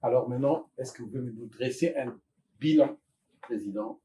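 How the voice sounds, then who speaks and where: A man's voice: medium pitch, moderate pace, dark tone, low-quality recording. A man asking questions as a journalist, in a somewhat hesitant way. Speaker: diaspora journalist, interviewer. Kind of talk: panel interview questioning.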